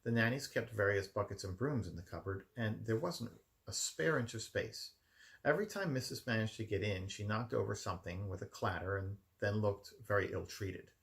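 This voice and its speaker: Male storybook reader, home setting, deep-leaning register with heavy vocal fry, expressive narration. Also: moderate pace. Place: his room at home.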